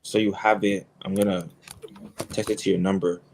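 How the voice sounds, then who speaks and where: A young man running for office, answering interview questions in a relaxed, hesitant way with some nervous laughs. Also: low voice, moderate pace, a little hiss or hum. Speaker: congressional candidate.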